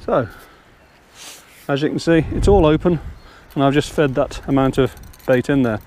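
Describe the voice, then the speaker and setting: A man's voice: medium pitch, moderate pace, a little mellow, a little hiss or hum. An older man casually explaining fishing steps outdoors on a riverbank, talking step by step. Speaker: angler and channel host.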